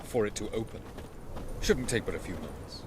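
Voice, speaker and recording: A man's voice: on the low side, lively intonation, moderate pace, background noise, close to an everyday voice. An actor playing an aloof, ancient male elf sage who speaks in formal, condescending sentences in a video game. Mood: lofty.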